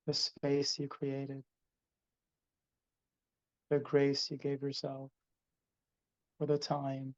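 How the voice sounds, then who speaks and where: A man hosting a live guided meditation over a video call, speaking slowly and softly. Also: medium pitch, monotone, no echo, highs cut off. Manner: slow, soft, soothing delivery. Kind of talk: guided meditation, then casual chat.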